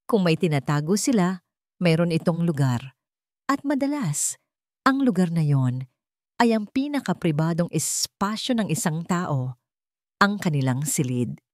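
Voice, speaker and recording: A woman narrating a scripted dramatic story in a measured, theatrical way. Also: on the low side, darkish tone, no room sound, studio quality.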